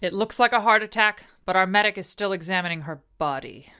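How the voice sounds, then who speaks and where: A woman playing a brisk, composed production manager who narrates a mystery radio play in a controlled, noir storyteller's way. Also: medium pitch, pushed and bright, smooth, natural voice. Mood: tense, commanding. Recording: music bed behind the voice.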